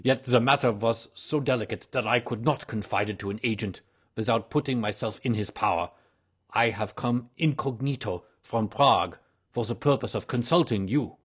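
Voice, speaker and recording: A proud foreign nobleman from a storybook, acted out by a man. He speaks with pompous formality and heavy emphasis, in a rough-edged, husky male voice that carries agitated self-importance. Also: mid-range voice, moderate pace, natural voice. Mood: earnest. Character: proud, agitated, aristocratic Bohemian king in disguise.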